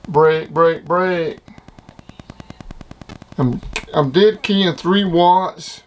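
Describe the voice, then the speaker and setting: A man's voice: on the high side, drawn-out words, slight background noise, rough recording. A man with a plain-spoken, folksy way of talking, giving a casual hands-on gear review outdoors. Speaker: product reviewer.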